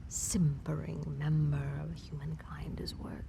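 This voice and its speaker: A woman playing a cunning, disdainful vampire mistress in an intimate audio drama, with haughty archaic phrasing and a breathy, whispery delivery. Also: low voice, flat delivery, noisy background, heavily acted. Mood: fond, haughty. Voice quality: breathy, whispery, with creaky edge. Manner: haughty, archaic, theatrical phrasing with lingering pauses. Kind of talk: intimate scripted roleplay audio drama monologue.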